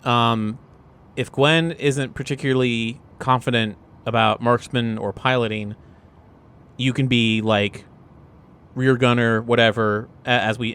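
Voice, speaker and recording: A man's voice: medium pitch, a bit bright. A man playing a tabletop role-playing game, chatting informally and jokingly as the players plan together. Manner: informal, jokey. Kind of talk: casual improvised tabletop game chat.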